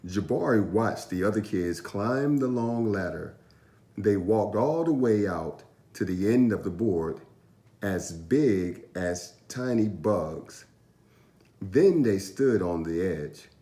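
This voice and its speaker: A man reading a children's story aloud with expressive, animated narration and drawn-out emphasis. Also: lowish voice, echoey room. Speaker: storytime reader.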